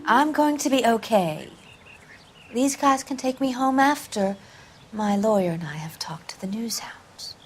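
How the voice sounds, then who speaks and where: A woman's voice: on the high side, a little slow, a little hiss or hum, natural voice. A woman playing a vain, brassy, evasive American film actress of the 1940s in a video game drama, with a haughty, teasing, theatrical delivery. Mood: cool, guarded.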